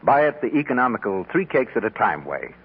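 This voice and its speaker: A man announcing a 1940s radio commercial in a formal, salesmanlike way with a husky voice. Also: medium pitch, sing-song, fairly quick, slight background noise. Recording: tinny 1940s radio broadcast.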